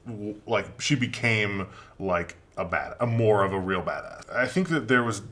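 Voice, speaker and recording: A man with a fairly low voice takes part in a casual film review. He talks informally and haltingly, doubling back on his phrases as he shapes his opinion. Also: sharp tone. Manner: informal, halting, repeats himself.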